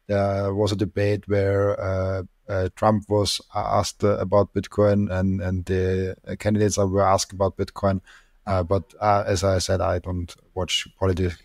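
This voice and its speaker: A man's voice: low-pitched, flat delivery, moderate pace, a little mellow, no room sound. A young man hosting an interview from a room at home, speaking into a close desk mic. He hesitates, stammers and gives small laughs. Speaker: host. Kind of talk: casual interview questions. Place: room at home with colored lights. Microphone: large desk mic close up.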